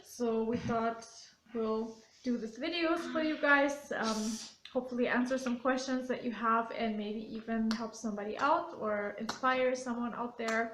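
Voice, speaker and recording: Bright-voiced woman chatting in a roomy space. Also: on the high side, fairly even intonation, moderate pace, hardly any pauses, slight background noise. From Germany. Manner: chatty, with frequent ums. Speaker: vlog co-host. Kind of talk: casual family vlog intro. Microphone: phone camera.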